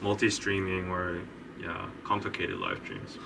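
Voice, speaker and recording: A man hosting a podcast in casual, relaxed chat, teasing his guest and laughing easily. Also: low-pitched, measured pace, creaky. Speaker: podcast host. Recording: distant mic, faint background noise.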